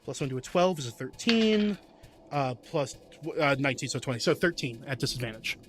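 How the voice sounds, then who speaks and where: A man's voice: medium pitch, animated, a little fast. A man playing a tabletop game, casually narrating his character's moves and working through dice checks aloud.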